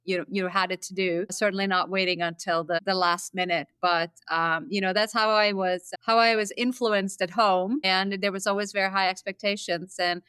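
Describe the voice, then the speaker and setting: A man in his fifties from Norway, a college dean, speaking in a measured way with dry humor in a casual interview. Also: high-pitched, level tone, pushed and bright, clear, resonant tone, no echo. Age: 50s. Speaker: dean of academics.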